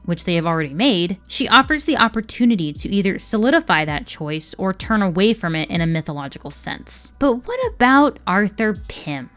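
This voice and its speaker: A woman hosting a scripted video essay, speaking in an emphatic, opinionated and casually sweary way. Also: mid-range voice.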